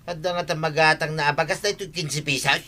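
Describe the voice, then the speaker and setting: Bright-voiced exasperated village husband, acted by a man. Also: fairly high pitch, fairly even intonation, fast talker. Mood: astonished. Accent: Ilocano. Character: exasperated village husband, pleading and scolding his wife. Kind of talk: Ilocano comedy radio drama acting.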